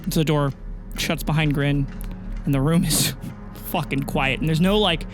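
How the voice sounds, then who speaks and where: A man's voice: on the high side, fairly even intonation, normal speed. A man working as game master, narrating a role-playing scene dramatically in an informal, sweary way. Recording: music under the voice.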